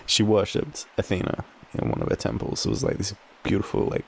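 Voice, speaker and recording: A young Australian man in a drowsy, halting, casual boyfriend roleplay, murmuring softly as he rambles through a story with slangy swearing. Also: lowish voice, gravelly, rough recording. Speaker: audio roleplay performer playing a boyfriend. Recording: faint background ambient noise.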